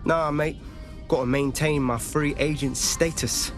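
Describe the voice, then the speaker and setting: An actor playing a cocky, streetwise London rogue in a video game, breezy and slangy. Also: male voice, fairly high pitch, steady tone, a little slow, music in the background. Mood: cocky, wry. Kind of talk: casual video game cutscene banter.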